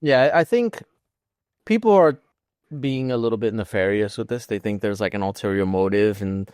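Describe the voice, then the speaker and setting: Man, podcast co-host, smooth clear tone, dry studio-like sound, casual opinionated gaming chat. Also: lowish voice, expressive, moderate pace, a little mellow, dry recording.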